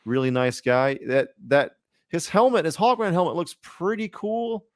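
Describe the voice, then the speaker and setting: A man's voice: fairly high pitch, sing-song, normal speed, a little mellow, dry recording, clean studio sound. A man co-hosting a podcast, chatting casually about superhero films in a rambling, informal, slangy way. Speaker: podcast co-host.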